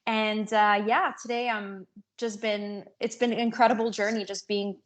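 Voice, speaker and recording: A woman with a medium-pitched voice speaks as a guest in a casual interview. She is earnest and hesitant, often pausing and restarting as she explains herself. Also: level tone. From North Africa and France. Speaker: podcast guest, business coach.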